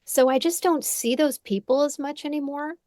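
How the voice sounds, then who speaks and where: A woman's voice: high-pitched, moderate pace, warm tone, no echo. Woman, forties, therapist host, reflective and searching, dry close home sound. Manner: thoughtful, searching, frequent restarts and "right?". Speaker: podcast host and psychotherapist. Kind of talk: reflective conversational interview. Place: home room. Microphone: headphones on a video call.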